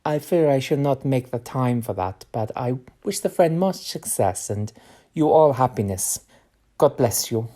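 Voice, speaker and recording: An actor playing a gentle, reserved older professor in an audio drama, with formal, careful phrasing. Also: male voice, medium pitch, lively intonation, unhurried. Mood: wistful, resigned.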